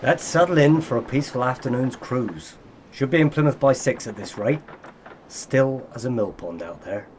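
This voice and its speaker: An actor plays a hearty Cornish fishing skipper in a radio drama. His mid-range voice is proud and plain-spoken, set against clearly audible boat ambience. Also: medium pitch, expressive, normal speed, a little mellow, lightly acted. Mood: jovial. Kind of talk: radio play acting. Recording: noisy boat ambience behind voice.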